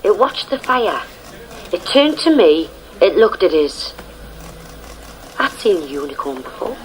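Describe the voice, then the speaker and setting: An actress playing a wary, troubled woman who witnessed an arson, speaking bluntly and haltingly. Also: on the low side, sing-song, slight background noise, low-quality recording. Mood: uneasy, guarded. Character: troubled working-class woman haunted by a unicorn vision. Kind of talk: radio drama acting.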